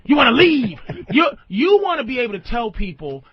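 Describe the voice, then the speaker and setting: A man's voice: very high-pitched, big pitch swings, a little fast, crowd noise. A man hosting unscripted comic radio talk, blunt and profane, often repeating himself for emphasis. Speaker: radio talk show host giving relationship advice. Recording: muffled lo-fi radio recording.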